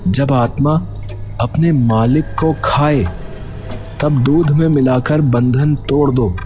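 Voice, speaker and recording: A man's voice: mid-range voice, slow pace, dark tone, soft background music, close to an everyday voice. A man playing an earnest young villager who leads his friends in a cartoon story, speaking casually and urgently. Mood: puzzled, reading.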